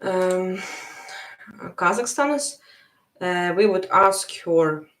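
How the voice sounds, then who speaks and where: Slow, patient Latvian woman teacher. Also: lowish voice, expressive, a bit bright, echoey room. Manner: slow, patient, repeats phrases for learners. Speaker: language teacher. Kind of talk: live online language lesson.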